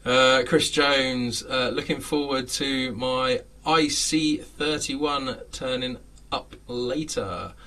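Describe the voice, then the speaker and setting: A man's voice: medium pitch, slow pace, hardly any pauses, bright, forceful tone, distant mic. A man in his late thirties, hosting a casual live chat from his home model railway room, rambling with lots of fillers and frequent chuckles. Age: 30s.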